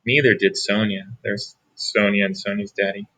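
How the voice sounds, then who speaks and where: A man reading a picture book aloud as a teacher, pausing for asides to young listeners. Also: fairly low pitch, steady tone, fairly bright tone, slightly rough, rough recording. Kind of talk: read-aloud storytelling.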